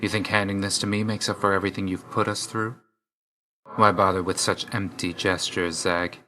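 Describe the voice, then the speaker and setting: An actor playing a brooding young god of death in a video game, curt and dour, with clipped, stern, weary delivery. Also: male voice, deep voice, level tone, moderate pace, sharp tone, a little hiss or hum. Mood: terse, weary. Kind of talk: video game character voice lines.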